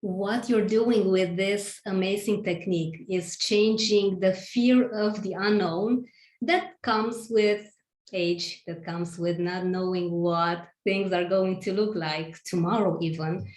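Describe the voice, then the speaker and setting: A middle-aged woman hosting an interview on a video call from a room at home, speaking effusively in deliberate phrases. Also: mid-range voice, fairly even intonation, darkish tone. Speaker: host. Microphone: video call, sitting back from camera. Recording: roomy, echoing home room.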